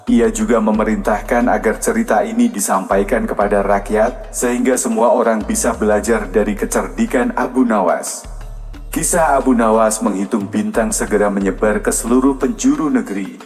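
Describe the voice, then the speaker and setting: A man's voice: fairly low pitch, normal speed, a little mellow. A man narrates a folktale from a script as a storyteller. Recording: long reverb, music behind. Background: music.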